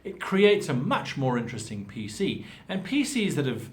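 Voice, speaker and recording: A man's voice: a little high, big pitch swings, moderate pace. A man giving an instructional monologue as a host, articulate and emphatic in his explanations. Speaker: host giving tabletop role-playing advice.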